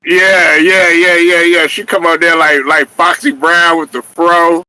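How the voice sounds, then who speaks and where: A man in casual sports chat with a bright, forceful voice that sits a little high. He talks in an animated, trash-talking way, breaking into laughs and hollers. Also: measured pace, slight background noise. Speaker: podcast host.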